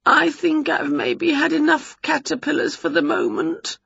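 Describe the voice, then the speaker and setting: A woman voicing an excitable, greedy, scatty woman from a children's storybook, gushing and exclaiming. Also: a little high, unhurried, breathy edge, dry recording, highs cut off, pitched above the performer's own voice, heavily acted. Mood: queasy.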